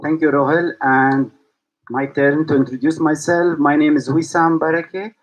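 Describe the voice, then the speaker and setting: A man's voice: fairly high pitch, flat delivery, normal speed, rough recording. A man from Syria, founder and host of a yoga school, speaking to online viewers and asking repeated rhetorical questions. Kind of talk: reflective talk to online viewers.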